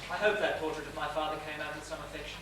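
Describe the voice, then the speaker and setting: A man's voice: on the high side, flat delivery, racing, lightly acted. A man playing a reflective, confiding writer in a stage monologue, literary in phrasing and projected to the house. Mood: wistful, genial. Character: reflective, confiding Russian writer narrating his stories. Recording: echoey theatre stage, distant mic, noisy.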